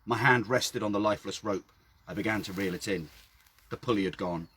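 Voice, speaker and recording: A man with a husky voice reading his own story aloud outdoors, in a dramatic storytelling style. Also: lowish voice, fairly quick, pushed and bright, slight background noise. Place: outdoors by a well.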